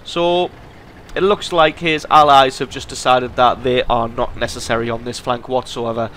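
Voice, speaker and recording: A man's voice: mid-range voice, moderate pace. Man with a cold narrating a game replay. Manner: lively, amused commentary with chuckles. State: has a cold. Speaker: gaming channel host.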